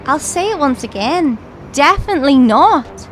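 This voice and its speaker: Actress playing a teasing young student girl, high lively voice, sarcastic audio drama banter over music. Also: moderate pace. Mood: dismissive, sarcastic. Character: stylish young Irish student, cheeky and dismissive of boys.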